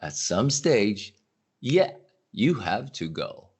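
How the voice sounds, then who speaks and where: A man's voice: fairly low pitch, sing-song, slow, slightly rough. A man speaking as an industry analyst guest on a podcast, talking casually and animatedly with frequent restarts.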